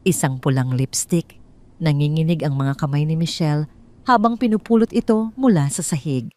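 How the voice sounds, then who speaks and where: Low-voiced Filipino woman narrating drama. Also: mellow. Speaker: storyteller, narrator. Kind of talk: scripted dramatic audio story narration.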